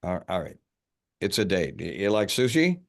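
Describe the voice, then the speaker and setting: An awkward, nervous man, played by an actor at a table read, with a very deep voice. He speaks in halting fragments and stammers as he goes. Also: sing-song. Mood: nervous, eager.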